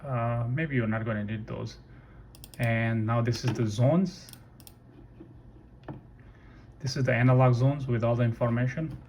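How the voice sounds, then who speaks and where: A man giving a casual, unscripted software walkthrough in a halting way, thinking aloud and correcting himself. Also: mid-range voice, steady tone, dull audio. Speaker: tutorial presenter. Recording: noisy room with keyboard typing. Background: computer keyboard clicks, ambient noise.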